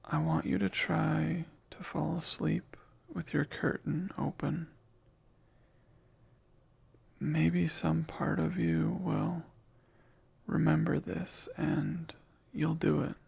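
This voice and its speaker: A man voicing a lonely, clingy male sleep paralysis demon in an ASMR roleplay, speaking in a soft, breathy whisper with slow, wistful musing. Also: on the high side, little pitch movement, full character voice. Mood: tender.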